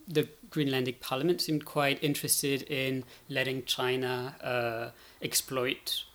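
A man's voice: medium pitch, flat delivery, unhurried, fairly bright tone, a little hiss or hum. A man speaking as an academic guest expert, explaining politics analytically and often checking in as he goes.

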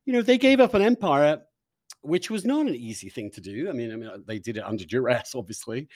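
Guy host thinking out loud in an interview, emphatic, voice goes up and down a lot. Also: mid-range voice, animated, a little fast. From Britain. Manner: discursive, emphatic, thinking aloud. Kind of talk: conversational intellectual interview.

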